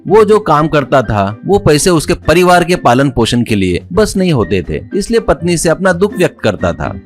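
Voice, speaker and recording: A man's voice: fairly high pitch, very animated, normal speed, soft background music. A man narrating a scripted story as a storyteller.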